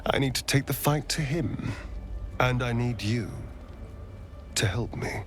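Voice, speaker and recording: Proud hurt vampire for a video game, unhurried and dramatic, music under him. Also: male voice, medium pitch, a bit bright. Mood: bitter resolve. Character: wounded, proud vampire rogue, wry and dramatic.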